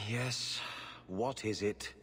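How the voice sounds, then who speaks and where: A man's voice: lowish voice, steady tone, drawn-out words, bright, forceful tone, exaggerated voice. A man playing a haughty, weary court official in a video game, formal, dry and disdainful. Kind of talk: video game cutscene acting. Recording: reverberant hall, faint noise.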